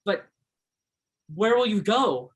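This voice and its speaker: A man's voice: high voice, big pitch swings, slow, a bit bright, husky, heavily acted. Man playing an earnest young backwoodsman. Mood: unsure. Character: earnest, shy young Alaskan backwoodsman who loves Shakespeare. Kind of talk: one-act stage play acting.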